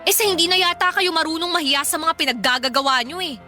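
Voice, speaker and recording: A woman acting an exasperated, sharp-tongued grown Filipino daughter in a radio drama, curt and reproachful. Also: very high-pitched, fast. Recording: music bed behind voice.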